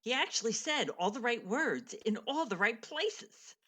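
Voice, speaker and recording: An actress playing a wry, bossy, no-nonsense stage manager in a radio play. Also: female voice, fairly high pitch, sing-song, unhurried, a bit bright, full character voice. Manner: wisecracking, bossy.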